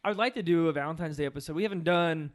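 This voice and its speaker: A man's voice: a little high, rapid-fire. A man co-hosting a film podcast in casual, conversational chat. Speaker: podcast co-host.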